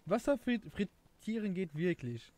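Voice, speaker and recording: A young man with a Luxembourgish accent rambles through a casual game stream, often searching for the right word. His voice sits a little high and swings widely in pitch. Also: big pitch swings, a little slow, darkish tone, no echo. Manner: rambling, laughing, self-mocking, searches for words. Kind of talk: casual gameplay stream chat.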